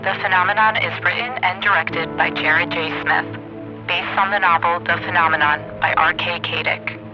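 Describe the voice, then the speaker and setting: A man reading the closing credits of an audio drama in an even, measured way. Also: fairly high pitch, highs cut off, over music. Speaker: credits announcer. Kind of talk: scripted credits read.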